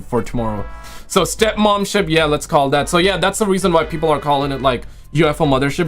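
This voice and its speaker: A man with a fairly high-pitched voice talks casually to his livestream viewers. He rambles in loose slang and often repeats himself, his voice a little worn as if it is going. Music plays faintly behind him. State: losing his voice. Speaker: livestream host and video creator.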